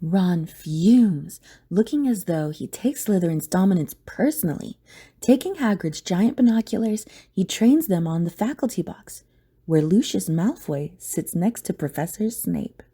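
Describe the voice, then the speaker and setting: A woman's voice: lowish voice, lively intonation, unhurried, mellow, slightly rough. A woman narrator reading a scripted story dramatically for an audio play.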